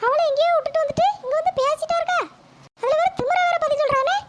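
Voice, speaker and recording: A woman playing an angry village mother, scolding her son at speed. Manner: rapid shrill scolding. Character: angry mother, daughter-in-law, loud and quick to blame. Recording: loud noisy recording with clicks.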